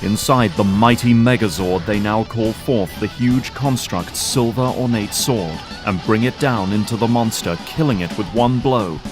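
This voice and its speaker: A man's voice: lowish voice, a little slow, few pauses, over music. A man narrating an audio drama in a scripted, dramatic storytelling style.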